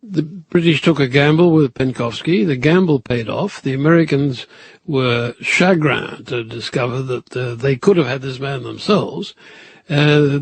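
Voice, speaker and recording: An older man with a gravelly, husky voice, speaking as an expert interviewee in a hesitant, anecdotal way. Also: mid-range voice, no room sound. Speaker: intelligence expert. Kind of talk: interview recollection for a documentary.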